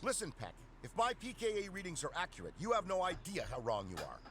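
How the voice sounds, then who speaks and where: An actor playing an earnest, eager middle-aged paranormal scientist in a video game, talking in technical, rambling turns. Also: male voice, high-pitched, wildly swooping pitch, fast, a bit bright, faint music behind, heavily acted.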